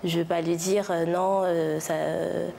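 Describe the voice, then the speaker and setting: A woman's voice: lowish voice, flat delivery, measured pace, creaky, dark tone, a little hiss or hum. A woman in her twenties answering carefully and hesitantly in a TV studio, full of fillers. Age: 20s. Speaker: guest. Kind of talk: TV talk show reply. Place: large TV studio with audience. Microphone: lapel mic.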